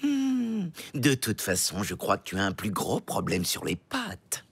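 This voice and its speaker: A man's voice: on the low side, huge pitch swings, measured pace, noisy background, heavily acted. A man voicing a sly, smug, blackmailing cartoon mouse with a French accent and a pompous, insinuating delivery. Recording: loud cartoon music behind.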